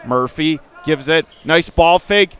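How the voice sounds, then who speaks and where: A man doing radio-style basketball play-by-play as the announcer, speaking in clipped phrases that lift into excited calls on big plays. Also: fairly high pitch, slow. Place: high school gym during a game.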